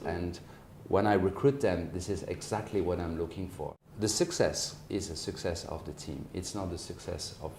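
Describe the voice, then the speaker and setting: A man in his forties from France with a French accent, giving measured, hesitant interview answers as a company managing partner. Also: sits low, mellow. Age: 40s. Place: office, indoors. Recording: roomy echo, faint background noise.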